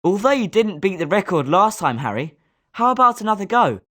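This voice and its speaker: A woman's voice: mid-range voice, big pitch swings, a little fast, fairly bright tone. A woman with a British accent voicing a confident, sporting schoolboy wizard with a competitive streak in a video game. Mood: encouraging. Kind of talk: scripted video game character lines.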